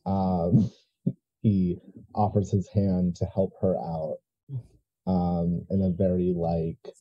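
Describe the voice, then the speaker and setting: A man's voice: deep voice, fairly even intonation, takes it slowly, highs cut off. A man playing a tabletop roleplay game, casually improvising narration for his character.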